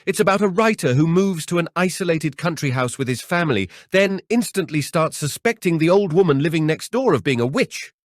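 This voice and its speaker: A man acts out a wry, excitable writer and family man in a horror audiobook. His voice is lifted and lively, in a dry, close recording. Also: a little high, brisk, few pauses, no echo, voice pushed up, natural voice. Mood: wry, animated. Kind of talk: audiobook character dialogue.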